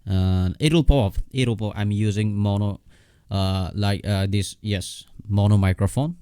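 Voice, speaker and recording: Deep, mellow man giving a halting tutorial. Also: steady tone, clean tone, dry recording, clean studio sound. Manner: halting, repetitive, with frequent check-in words. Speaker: software tutorial host. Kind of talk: casual unscripted screen tutorial.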